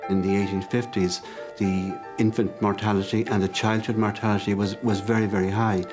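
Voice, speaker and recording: A man's voice: lowish voice, little pitch movement, fairly quick. A man interviewed as an expert, explaining steadily and repeating words for emphasis. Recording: music bed under voice.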